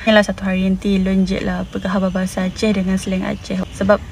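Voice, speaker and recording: Brief: woman, Malaysian Malay accent, flat hesitant delivery, faint crows behind. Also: mid-range voice, fast talker, creaky, a little hiss or hum. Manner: apologetic, hesitant, mixes languages. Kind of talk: casual vlog talk.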